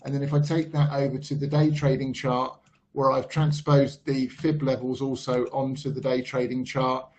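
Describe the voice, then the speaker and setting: Hoarse-edged man talking through trading charts. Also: medium pitch, little pitch movement, a little mellow. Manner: measured, thinking aloud with frequent ums. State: losing his voice. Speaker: market analyst. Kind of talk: screen-share chart commentary. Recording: slightly dull lo-fi voice-over.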